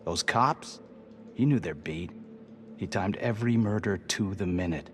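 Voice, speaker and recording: An actor playing an intense, manipulative death-row prisoner in a crime game, speaking earnestly and persuasively. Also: male voice, sits low, a little slow, slight background noise. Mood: bitter, confiding. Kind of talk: cinematic crime game voice acting.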